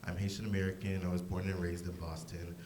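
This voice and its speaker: A man's voice: low voice, level tone, quick pace, darkish tone, roomy sound, slight background noise. An eighteen-year-old young man introducing himself on a panel, matter-of-fact. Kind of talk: self-introduction.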